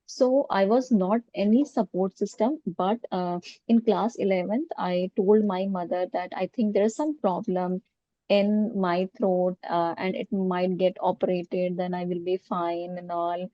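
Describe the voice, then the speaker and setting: A woman's voice: fairly low pitch, steady tone, a little slow, dry recording. A woman with an Indian accent who stammers, answering interview questions with many fillers and repeated sounds. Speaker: guest, coaching centre founder. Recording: dull lo-fi audio.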